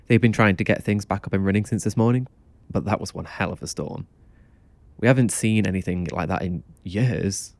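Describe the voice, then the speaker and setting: A man's voice: fairly low pitch, brisk, a little mellow, no echo, lightly acted. A man playing a laid-back young motel clerk in an audio drama, offhand but kindly.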